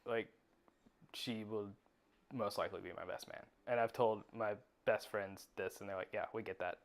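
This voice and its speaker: A woman with a strikingly low, smooth and resonant voice and a light Southern US accent. She co-hosts a podcast in a relaxed, casual way and laughs easily. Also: moderate pace. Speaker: podcast co-host. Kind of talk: casual chat.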